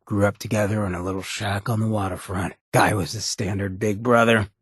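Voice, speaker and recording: An actor playing a swaggering, sardonic but loyal male ghoul rebel in a video game, with a very raspy, gravelly American voice and a streetwise, folksy drawl. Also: fairly low pitch, level tone, a little fast, dry recording, clean studio sound. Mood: bitter, reflective. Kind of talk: video game companion voice acting.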